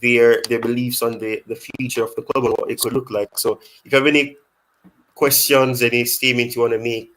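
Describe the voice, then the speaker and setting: A man's voice: mid-range voice, little pitch movement, fairly quick, a bit bright, poor audio. A man hosting a casual football fan live stream, reading chat questions aloud and rambling with many restarts.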